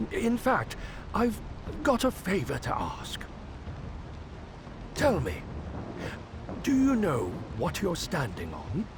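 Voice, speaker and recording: An actor playing a weary, wise old man in a video game, speaking in a deliberate, folksy way over music. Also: medium pitch. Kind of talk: dramatic video game voice acting. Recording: background music under the voice.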